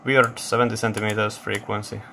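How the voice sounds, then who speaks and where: A man giving a casual technical demonstration, thinking aloud in a halting, matter-of-fact way. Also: on the low side, flat delivery, sharp tone, slight background noise. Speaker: electronics hobbyist presenter. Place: indoor electronics workbench.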